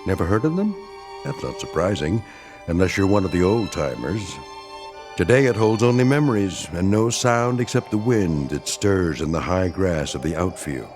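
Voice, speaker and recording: A wry American storyteller delivers theatrical radio narration for an eerie tale. His lowish voice moves dramatically up and down over clear music. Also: lively intonation, normal speed. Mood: wry, ominous.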